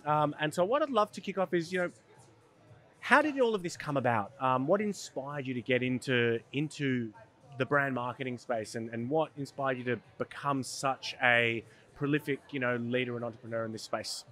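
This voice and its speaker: A man's voice: mid-range voice, moderate pace, a bit bright. A man hosting an interview, asking questions in an upbeat, conversational way with frequent "um"s. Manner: upbeat, many listener "hmm"s and "um"s. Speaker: podcast host, interviewer.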